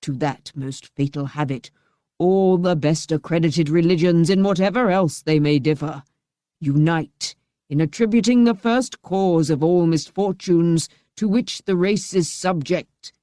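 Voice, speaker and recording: A woman reading the part of a cruel, menacing husband, drawing out his threats slowly and heavily. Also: fairly low pitch, dark tone, no echo, studio quality, close to an everyday voice.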